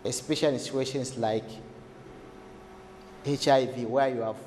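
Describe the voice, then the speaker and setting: A man speaking as a health expert in a didactic, deliberate way, asking questions and answering them himself as he explains. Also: medium pitch, steady tone, darkish tone. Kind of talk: explanatory interview talk for a documentary. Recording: echoey hall-like room, faint noise.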